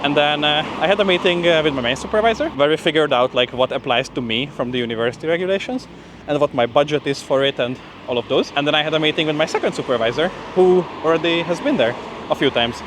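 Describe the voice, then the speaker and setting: A man's voice: fairly high pitch, lively intonation, fast. A young man talking casually to camera while walking, slightly out of breath, with informal phrasing full of "like" and "you know". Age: young adult. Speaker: PhD student vlogger. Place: outdoors on a street, walking. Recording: clear outdoor street and traffic noise.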